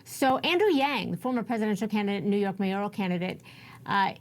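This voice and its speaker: A woman's voice: mid-range voice, darkish tone. A woman with an American accent working as a news anchor, presenting and interviewing live on television.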